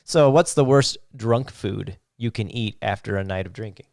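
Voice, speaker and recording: Low-voiced American man with a clean sound. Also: moderate pace, gravelly, darkish tone, no echo, clean studio sound. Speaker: podcast host. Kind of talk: casual bantering chat.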